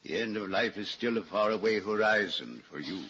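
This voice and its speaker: An actor playing a grumpy but tender-hearted old banker of nearly eighty in an old-time radio drama. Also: male voice, lowish voice, brisk, fairly bright tone, husky. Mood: wistful. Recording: dull, hissy old radio recording.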